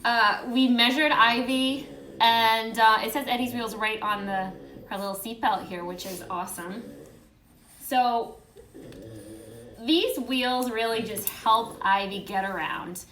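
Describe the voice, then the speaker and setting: Bright, high-voiced woman chatting in echoey room. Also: fairly even intonation, slow, slight background noise. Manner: affectionate, chatty greetings to viewers and dogs. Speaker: host and children's book author. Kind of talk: casual live-stream chat to viewers. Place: indoors in a room, live-streamed.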